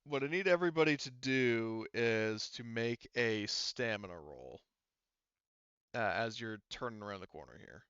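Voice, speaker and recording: A man leads a tabletop roleplaying session as game master, his voice bright and smooth. He speaks haltingly, working out rulings as he goes. The recording is close and dry, a little muffled. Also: on the low side, animated, no echo. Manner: halting, thinks aloud while working out rules.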